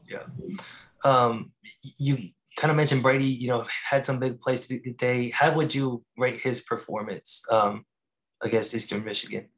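Male reporter putting questions to a coach. Average pitch, casual, slightly hesitant. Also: fairly even intonation, measured pace, a bit bright, low-quality recording. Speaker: sports reporter. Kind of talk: asking press conference questions.

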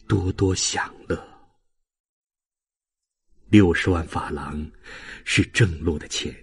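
Very husky, frail dying old father. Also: low voice, darkish tone, full character voice. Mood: tender, dying. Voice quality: husky, breathy. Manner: slow, halting, sentimental delivery. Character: frail dying old father, tender, humble, bidding farewell. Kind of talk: dramatic audiobook reading of a classic novel.

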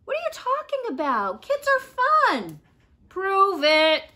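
A woman voicing an excitable, indignant little girl from a picture book, with emphatic exclamations. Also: very high voice, slow pace, pitched above the performer's own voice, heavily acted. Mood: excited, indignant.

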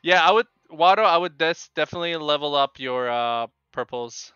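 A middle-aged man with a Polish accent chats casually with viewers while gaming, in a halting way that often repeats words. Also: fairly high pitch, pushed and bright, smooth, no room sound, faint music behind. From Poland. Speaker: game streamer. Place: small home room with bookshelves. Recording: dull, lo-fi microphone sound.

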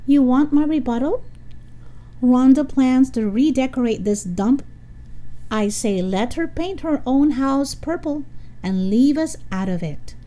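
Woman voicing a brash, scornful tough schoolgirl, swooping pitch. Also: expressive, a little slow, dark tone, rough recording. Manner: sneering, punchy, emphatic.

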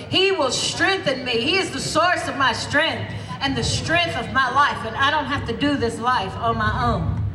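A woman with a raspy voice, earnestly introducing a gospel song on an outdoor stage with a handheld mic. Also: high-pitched, bright, forceful tone. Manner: earnest, faith-filled encouragement. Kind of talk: spoken song introduction, testimony. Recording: echoey outdoor PA with street noise.

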